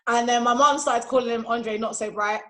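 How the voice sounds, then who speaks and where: A woman tells a chatty, laughing story to a friend over a video call. Her voice is high and bright for a woman. The delivery is loose and casual. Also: fairly even intonation, fairly quick, heavy background noise. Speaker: friend of the host.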